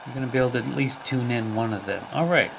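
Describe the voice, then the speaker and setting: A man giving a casual, unhurried, plain-spoken hands-on demonstration as a radio hobbyist. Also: mid-range voice, creaky. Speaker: shortwave radio hobbyist presenter. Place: indoors at a wooden table. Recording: loud radio static and hiss. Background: shortwave radio static, tones and ticking.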